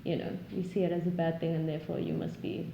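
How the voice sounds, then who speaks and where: A woman's voice: deep voice, flat delivery, fast, muffled recording, background noise. Reflective woman, echoey room. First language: not English. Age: 20s. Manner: earnest, reflective, searches for words. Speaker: podcast guest. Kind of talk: casual conversation. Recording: roomy echo.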